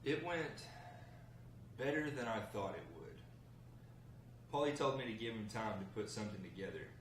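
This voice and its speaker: A man's voice: fairly low pitch, lively intonation, normal speed, lightly acted. A man reading the part of a confident, defensive husband who is joining a gang, in a drama script table read. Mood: excited, relieved. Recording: echoey room, distant mic, faint noise.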